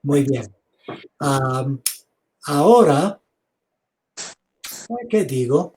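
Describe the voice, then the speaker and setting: A man giving an online theology lecture over a video call, speaking slowly, repeating words and inviting questions. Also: medium pitch, expressive, a little mellow, a little hiss or hum. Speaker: seminary lecturer.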